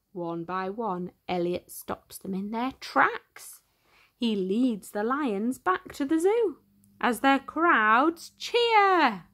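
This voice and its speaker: A woman reading a children's storybook aloud in an expressive, theatrical way with deliberate emphasis. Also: on the high side. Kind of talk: dramatic storytime narration for young children.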